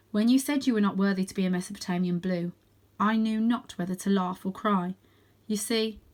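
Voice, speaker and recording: A wise old tomcat mentor from a children's storybook, read by a woman teaches in measured, solemn phrases. He sounds grave and instructive, an ancestor passing on his lore. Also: medium pitch, lightly acted.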